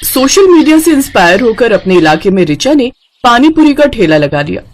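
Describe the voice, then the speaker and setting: A woman's voice: fairly high pitch, very animated, normal speed. A woman narrator reading a scripted story for children.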